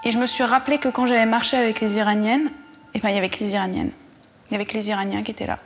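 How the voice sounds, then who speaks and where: A woman's voice: fairly high pitch, steady tone, racing, husky, faint music behind. A woman in her twenties, a French teacher, speaking earnestly and reflectively in a documentary interview. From France. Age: 20s.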